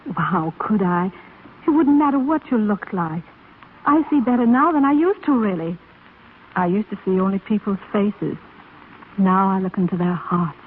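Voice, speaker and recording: An actress playing a gentle blind woman, brave yet yearning and despairing, in a 1930s radio drama. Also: mid-range voice, expressive, moderate pace, natural voice. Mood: wistful, tender. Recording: scratchy, phone-like 1930s radio broadcast recording.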